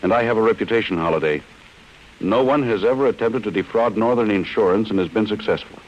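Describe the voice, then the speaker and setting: An actor with an American accent playing a cold, relentless chief insurance investigator in an old-time radio drama, speaking in a stern, deliberate way. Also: male voice, lowish voice, close to an everyday voice. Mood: grim, resolute. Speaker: actor in a radio detective serial. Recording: muffled, hissy old radio broadcast.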